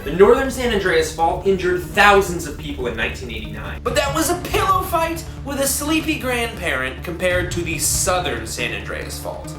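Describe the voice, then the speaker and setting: A man hosts a comedic explainer with a sarcastic, theatrical delivery. He breaks into sudden exclamations. His voice sounds roomy and sits over loud music. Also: sits high. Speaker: comedic web video host. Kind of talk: comedic scripted explainer narration.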